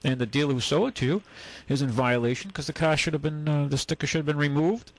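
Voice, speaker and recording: A man hosting a call-in radio show, giving casual advice in a halting, rambling way. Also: on the high side. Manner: halting, rambling, conversational explanations. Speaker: radio call-in show host, car dealer.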